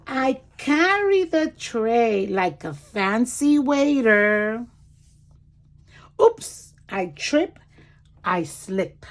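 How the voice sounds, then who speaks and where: A woman reading a picture book aloud to children in an expressive, deliberate way, pausing to explain words and point things out. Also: fairly high pitch. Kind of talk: animated children's read-aloud with commentary asides.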